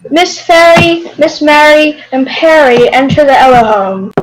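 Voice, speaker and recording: A woman's voice: high voice, expressive, measured pace, slight background noise, low-quality recording. A woman narrating a scripted story for readers theater.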